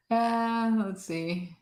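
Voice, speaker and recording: A woman's voice: fairly high pitch, a little mellow. A woman in her fifties co-hosting a casual livestream chat, greeting viewers and reading their comments aloud. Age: 50s. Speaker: co-host of a livestream chat show. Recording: echoey room.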